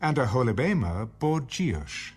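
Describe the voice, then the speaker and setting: A man giving a formal, measured scripted narration of scripture, as in an audio Bible. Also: mid-range voice, big pitch swings, a little mellow. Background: background music.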